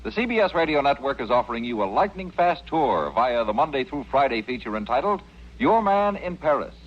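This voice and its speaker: An American man announcing for a network in polished mid-century radio style, with jaunty, wordy promotional delivery. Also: mid-range voice. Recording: muffled old radio broadcast.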